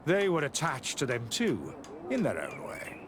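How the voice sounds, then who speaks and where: Guarded zealous monk, swooping intonation. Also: male voice, mid-range voice, wildly swooping pitch, a little slow, noisy background. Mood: self-assured. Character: guarded, zealous male missionary monk and scholar. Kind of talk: video game cutscene acting.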